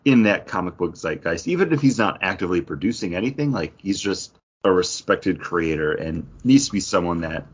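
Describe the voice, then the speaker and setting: A man's voice: low voice, husky, highs cut off. A man hosting a casual geek-news discussion, speaking in an opinionated, conversational way. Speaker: podcast host.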